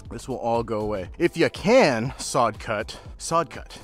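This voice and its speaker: A man's voice: mid-range voice, animated, a little slow, soft background music. A man vlogging outdoors in a yard, explaining lawn work in an upbeat, chatty way and laughing often. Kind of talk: casual how-to vlog.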